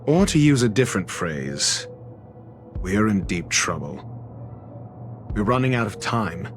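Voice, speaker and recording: An actor playing a courteous, cryptic detective in a video game, speaking in a formal, measured way. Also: male voice, fairly low pitch, big pitch swings. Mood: grave, measured. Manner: formal, measured, theatrical wording. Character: composed, eloquent male detective, courteous and cryptic. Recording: music behind the voice.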